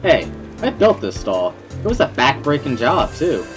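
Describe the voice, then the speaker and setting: A man's voice: mid-range voice, huge pitch swings, moderate pace, over music, pitched above the performer's own voice. A man voicing a friendly, put-upon student who runs a festival booth, by turns eager and grumbling.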